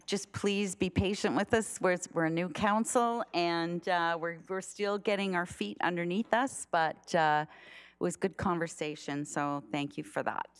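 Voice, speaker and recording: Low voiced lady mayor running a council meeting, lots of restarts and ums. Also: steady tone, clear, resonant tone. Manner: halting, many restarts and fillers. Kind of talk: chairing a town council meeting.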